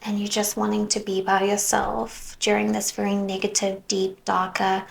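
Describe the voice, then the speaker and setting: A woman giving a tarot reading, musing softly as she goes and sometimes slipping into a whisper, her voice worn as though she is losing it. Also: medium pitch, monotone, vocal fry, rough recording. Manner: slow, soft, musing, drifts into whispers. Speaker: tarot reader.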